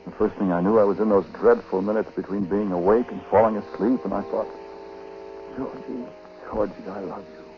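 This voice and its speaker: A muffled vintage radio recording with faint noise carries the low voice of an actor playing a haunted husband. He tells his dark story haltingly and conversationally, brooding and uneasy, in the intimate manner of 1940s radio drama. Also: fairly even intonation, close to an everyday voice. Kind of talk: 1940s radio drama acting and first-person narration.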